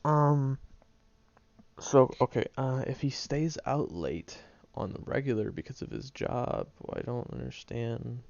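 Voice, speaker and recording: A man's voice: mid-range voice, gravelly, a little mellow, dry recording, heavily acted. A man voicing a prickly young male student who secretly cares, talking hesitantly with stammers and ums in an audio roleplay. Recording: dull lo-fi recording.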